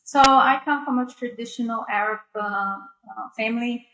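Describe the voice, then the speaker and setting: A woman from Egypt who was raised in the US and speaks, answering interview questions on a video call in a measured way with many fillers. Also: a little high, pushed and bright, low-quality recording. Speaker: guest speaker.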